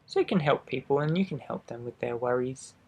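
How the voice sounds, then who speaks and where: A man reading a children's storybook aloud expressively, adding gentle asides for young listeners. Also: mid-range voice, a little fast, slightly rough. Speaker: storybook reader. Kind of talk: children's picture book read-aloud.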